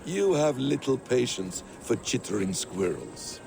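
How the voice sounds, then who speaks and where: Gravelly, silky scheming assassin. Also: male voice, medium pitch, very animated, unhurried, music in the background. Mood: wry, persuasive. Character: shrewd, silky schemer and assassin, male, philosophical and watchful. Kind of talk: video game voice acting.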